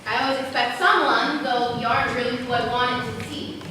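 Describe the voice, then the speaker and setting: A woman acting a guarded, wry high-school girl in an amateur stage play, with a cool, teasing manner. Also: steady tone, moderate pace, close to an everyday voice. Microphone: distant video camera. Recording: distant microphone, echoey noisy hall.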